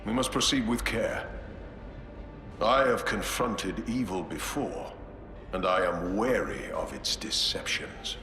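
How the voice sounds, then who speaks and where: An actor playing a solemn space marine chapter master who speaks in a grave, measured way. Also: male voice, on the low side. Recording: echoey game cutscene with music.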